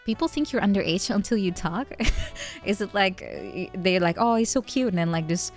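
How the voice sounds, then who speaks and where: A woman's voice: medium pitch, sing-song, moderate pace. A young woman streaming, chatting casually with viewers. She laughs often, thinks aloud with fillers, and is tipsy from wine. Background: faint background music.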